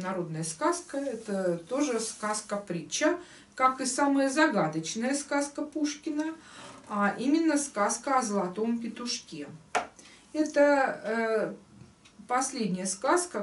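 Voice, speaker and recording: Older woman lecturing, roomy and distant. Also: on the high side. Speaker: lecturer, writer. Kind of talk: online literary lecture with expressive reading aloud. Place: living room at home. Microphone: camera a few metres away. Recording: roomy echo, distant microphone.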